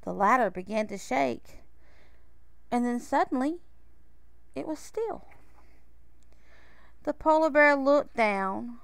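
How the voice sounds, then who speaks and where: A woman reading a children's picture book aloud in a measured, expressive way, with pauses. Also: high-pitched, vocal fry, smooth, dry recording. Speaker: storybook reader.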